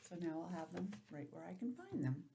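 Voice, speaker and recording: A woman's voice: on the low side, big pitch swings, fairly quick, gravelly, dark tone, a little hiss or hum. A woman teacher leading an interactive lesson for young children, asking questions and adding chatty asides, recorded at home on a laptop. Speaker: preschool or early grades teacher. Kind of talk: interactive calendar lesson for young children over video call. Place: indoors at home, speaking into a laptop.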